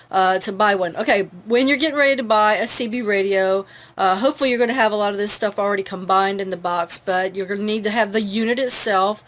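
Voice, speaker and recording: A middle-aged woman hosting an informal how-to talk, chatty, with many fillers. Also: medium pitch. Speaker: host.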